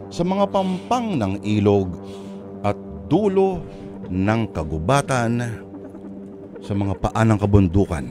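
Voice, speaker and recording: A man hosting and narrating a radio drama in a theatrical, suspenseful storytelling style. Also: on the low side. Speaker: host and narrator. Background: music.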